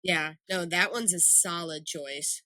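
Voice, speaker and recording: A woman's voice: on the low side, slow, bright, forceful tone, dry recording. A young woman co-hosting a podcast, bantering playfully and giggling a lot. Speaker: podcast co-host. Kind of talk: casual chat.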